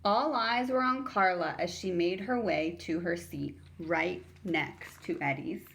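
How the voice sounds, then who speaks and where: A woman's voice: on the low side, unhurried, gravelly, a bit bright. A woman reading a children's story aloud as a teacher would, narrating expressively for young listeners.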